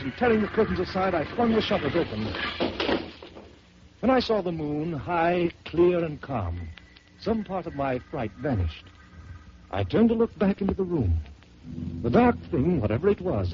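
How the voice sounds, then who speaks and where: Man, radio horror narrator, lively dramatic delivery, fairly high voice, muffled old recording with hiss. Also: normal speed. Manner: dramatic, tense first-person telling with swells and hushed drops.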